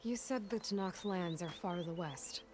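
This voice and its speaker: An actress playing a level-headed, inquisitive female adventurer in a video game, speaking in a measured, probing way. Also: on the low side, a little mellow, a little hiss or hum, natural voice. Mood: curious.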